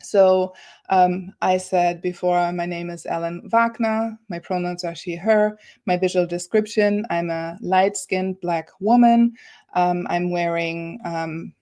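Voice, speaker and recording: A woman's voice: fairly low pitch, fairly even intonation, takes it slowly, dark tone. A woman moderating an informal online panel in a conversational way, often voicing little quotes as she retells things. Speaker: host and moderator. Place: office, on a video call. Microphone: video call.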